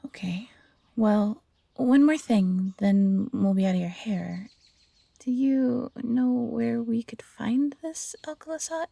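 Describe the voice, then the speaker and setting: A woman plays a young fox-hybrid adventurer in a fantasy audio drama. Her voice is mellow and husky with some creak, recorded close and dry. She comes across as earnest and full of questions. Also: on the high side, takes it slowly, no echo, exaggerated voice. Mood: firm, hesitant. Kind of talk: fantasy audio roleplay acting.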